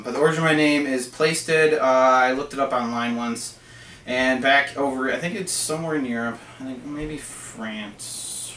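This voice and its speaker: A man's voice: medium pitch, bright, forceful tone. A young American man co-hosting a casual chat, bantering goofily and letting his sentences trail off. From United States. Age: young adult. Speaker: co-host. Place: small cluttered storeroom indoors. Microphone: far camera mic. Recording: echoey room, distant mic, faint hiss.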